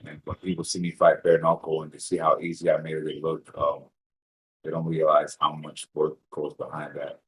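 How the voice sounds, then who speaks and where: Interview guest: man, 30s, from Puerto Rico, very deep voice, loose rambling delivery with restarts. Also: normal speed, poor audio. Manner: relaxed, rambling, swears casually, many restarts. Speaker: interview guest, bare-knuckle fighter. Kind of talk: casual interview chat.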